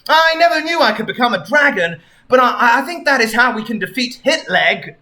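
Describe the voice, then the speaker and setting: A man's voice: extremely high pitch, expressive, fairly quick, sharp tone, pitched above the performer's own voice, exaggerated voice. A man voicing a cocky young male cartoon hedgehog hero, boastful and breezy, in a raised voice. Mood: eager.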